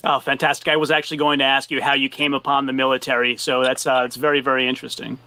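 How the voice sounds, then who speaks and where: A man's voice: medium pitch, level tone, fast talker, bright, forceful tone, no echo. A man hosting an interview over a video call, friendly and curious, with frequent affirmations. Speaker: podcast host, interviewer.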